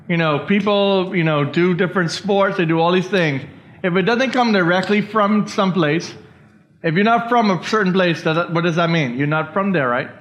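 A man's voice: sits high, a bit bright. Man teaching Bible class, tag-questioning. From Bahamas. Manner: keeps checking in with listeners, "all right?". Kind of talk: church Bible study teaching. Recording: dull lo-fi recording.

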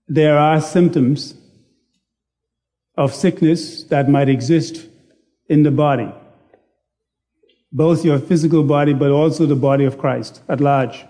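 Slow, mellow-voiced male preacher. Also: fairly high pitch, steady tone. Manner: slow, deliberate, self-deprecating delivery. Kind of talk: sermon. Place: church sanctuary.

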